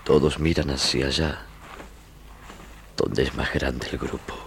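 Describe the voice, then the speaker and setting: An actor playing an earnest army lieutenant in a theatrical, halting style of old radio drama. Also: male voice, very deep voice, steady tone, vocal fry, exaggerated voice. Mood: bewildered. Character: earnest young army lieutenant, dazed then defiant. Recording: noisy old radio archive tape.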